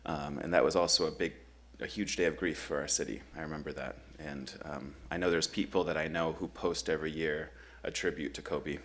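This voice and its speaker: A man from Michigan facilitating a grief workshop at a church, talking in a relaxed, conversational way with frequent ums. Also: rumbling low, brisk, hardly any pauses. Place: church meeting room.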